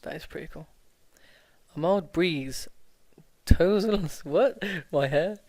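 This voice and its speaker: A man's voice: a little high, slow, mellow, no room sound. A man hosting a let's play, reading a visual novel aloud with stumbles, self-corrections and laughs.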